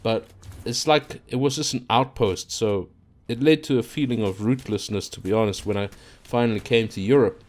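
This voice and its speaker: A man's voice: fairly low pitch, moderate pace. A man from Africa, talking casually into his own microphone in an unscripted, rambling monologue full of fillers and restarts. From South Africa. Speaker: YouTuber. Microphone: own microphone at a computer.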